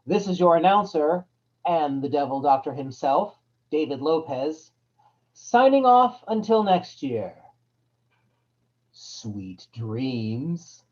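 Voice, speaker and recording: A man's voice: a little high, very animated, slow. A man announcing scripted credits in old time radio style, on a dull recording.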